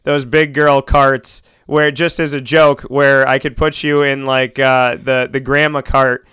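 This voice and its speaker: A man's voice: medium pitch, level tone, unhurried, fairly bright tone, clean tone, no echo, studio quality. An American man hosting a casual radio show, talking in a rambling, emotional, self-interrupting way.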